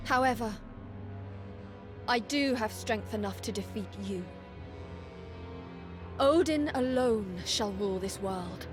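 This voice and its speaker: An actress playing a resolute, righteous warrior valkyrie in a video game cutscene, with formal and solemn delivery. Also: female voice, medium pitch, slow pace, long pauses, close to an everyday voice. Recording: music behind the voice.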